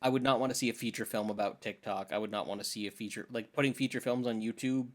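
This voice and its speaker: A man's voice: fairly low pitch, steady tone, fast. Emphatic young man chatting about films. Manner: opinionated, emphatic, uses slangy asides. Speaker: podcast co-host. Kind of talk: casual film discussion chat.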